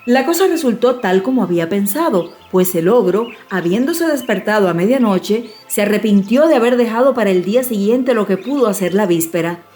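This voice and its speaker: A woman storyteller giving an expressive, measured narration of a dramatized fairy-tale audiobook. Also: medium pitch. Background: music.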